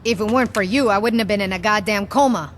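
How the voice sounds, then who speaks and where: A woman acting out a hard, mocking female gang boss from a video game cutscene. Her medium-pitched voice is sardonic and sure of itself, full of swearing. Faint ambient noise sits underneath. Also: fast, lightly acted. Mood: cold contempt. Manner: foul-mouthed, sardonic, cocky.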